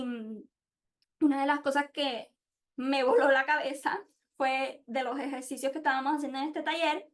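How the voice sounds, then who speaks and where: A woman gives an informal, reflective presentation, speaking candidly and often searching for words. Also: sits high. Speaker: podcast host and international relations researcher.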